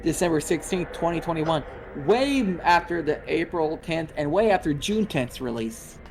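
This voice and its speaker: Thirtysomething guy ranting and cussing on a game stream, kinda high voice, game sounds loud behind. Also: normal speed. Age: 30s. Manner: excitable, swears a lot, laughs often. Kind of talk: casual gaming stream chat. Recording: game noise clearly behind.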